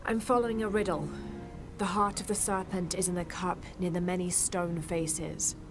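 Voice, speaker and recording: An actress playing a composed young woman archaeologist, polite and measured, in video game dialogue. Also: lowish voice, fairly even intonation, lightly acted. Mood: composed, curious. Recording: game music behind the voice. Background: music.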